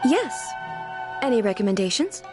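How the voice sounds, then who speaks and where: An actress playing a composed, regal woman ruler in a video game, formal and measured, with an earnest, naive curiosity. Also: a little high, very animated, music in the background. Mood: composed, pleased. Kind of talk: scripted video game dialogue.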